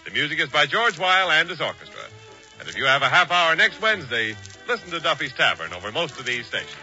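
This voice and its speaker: A man's voice: a little high, sing-song, fast talker. A man working as a radio announcer, reading scripted advertising and credits in a 1940s announcing style. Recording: muffled 1940s radio broadcast, music and audience behind.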